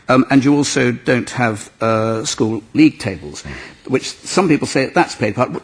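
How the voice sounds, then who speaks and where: A man hosting a radio panel debate, briskly calling on speakers and cutting in to keep order. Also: mid-range voice. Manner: brisk, calls names and cuts in to manage debate. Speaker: host.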